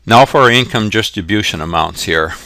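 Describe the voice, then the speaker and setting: Deep, gravelly man explaining step by step. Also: fairly quick, pushed and bright, no room sound. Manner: methodical, repetitive step-by-step explaining. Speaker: accounting instructor. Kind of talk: explanatory tutorial narration. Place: close, dry voice-over for a screen recording.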